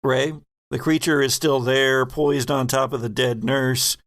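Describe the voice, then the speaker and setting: Creaky-voiced, unhurried male game master. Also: mid-range voice, steady tone, fairly bright tone, dry recording, studio quality. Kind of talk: narrating a horror sci-fi tabletop game.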